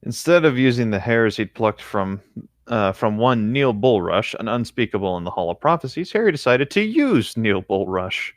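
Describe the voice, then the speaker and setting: Male co-host, lowish voice, relaxed read-aloud with conversational asides, recorded dry. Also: normal speed, no room sound. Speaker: co-host reading fan fiction aloud. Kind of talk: casual read-aloud with side chat.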